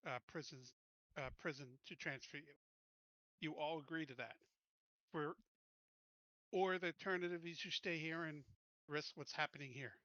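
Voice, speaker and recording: A man talking casually and deliberately as a player in a tabletop roleplaying game. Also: on the high side, bright, forceful tone, no echo, highs cut off.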